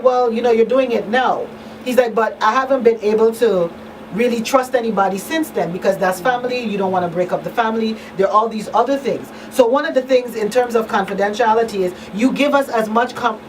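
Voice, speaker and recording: A woman hosting a radio talk show in a conversational way, emphatic and repeating key phrases. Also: medium pitch. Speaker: radio talk show host and coalition advocate. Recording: distant mic, faint background noise.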